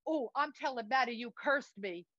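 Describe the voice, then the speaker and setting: A woman's voice: fairly high pitch, moderate pace, dull audio, very noisy, natural voice. A woman voicing a mouthy, scornful young boy with a blunt, insolent manner in an acted monologue. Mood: threatening. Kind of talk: theatrical monologue, acted dialogue.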